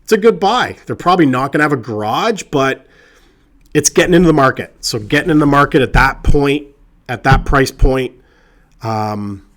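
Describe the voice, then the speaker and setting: Male realtor, mid-pitched, hoarse with a cold, chatty and reassuring. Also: expressive, normal speed, a bit bright. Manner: conversational, chatty and reassuring, with many fillers. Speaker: real estate agent. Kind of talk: casual advice talk to camera. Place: indoors with a colleague present.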